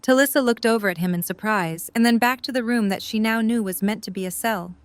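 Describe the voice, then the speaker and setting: A woman's voice: on the high side, a little fast, no room sound. A woman reading scripted third-person narration for an audio drama.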